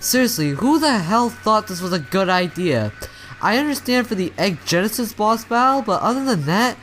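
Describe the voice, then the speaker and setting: A man's voice: sits high, animated, moderate pace, a bit bright, faint music behind. A man giving casual let's play commentary, rambling and often stumbling and rephrasing as he goes. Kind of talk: casual video game commentary.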